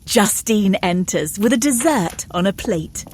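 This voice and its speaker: A woman's voice: medium pitch, animated, a little slow, a little mellow, slight background noise. A woman narrating an audio drama in a dramatic, scripted storytelling style.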